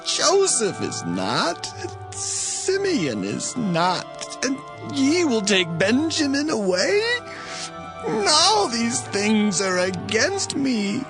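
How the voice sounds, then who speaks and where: An actor playing a grieving, weary old father in a dramatized scripture reading, speaking slowly and mournfully with a gravelly voice. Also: extremely high pitch, wildly swooping pitch, over music, heavily acted, poor audio. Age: older. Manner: slow, mournful, sorrowful delivery.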